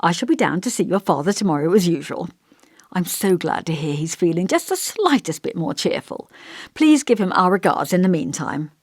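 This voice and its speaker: An elderly village vicar from an audiobook, acted out by a woman, kindly and long-winded. The speech is genteel and old-fashioned, a little pompous, and musing. The pitch moves around freely, and the sound is dry. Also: sing-song, normal speed, no echo. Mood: genial, fussy. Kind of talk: audiobook character dialogue.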